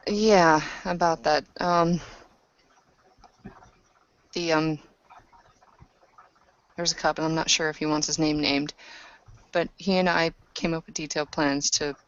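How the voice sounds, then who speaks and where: Low-voiced woman playing a roleplaying game. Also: steady tone, unhurried, long pauses. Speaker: tabletop roleplay player. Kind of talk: conversational in-character roleplay. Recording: muffled, dull lo-fi audio.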